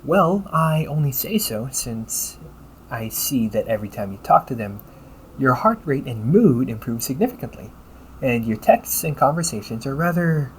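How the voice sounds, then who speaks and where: A man playing a polite, caring, gently teasing AI companion in an intimate audio roleplay, speaking formally to the listener. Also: mid-range voice, natural voice, low-quality recording. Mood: frank advice. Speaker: voice actor. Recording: noticeable background noise.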